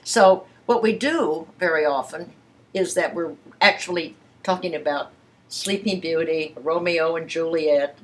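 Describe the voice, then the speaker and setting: A woman in her eighties with a fairly low-pitched voice speaks in a casual, candid conversation. She is blunt and emphatic, with a playful streak in the way she talks. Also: unhurried. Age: 80s. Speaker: sex educator giving private sessions.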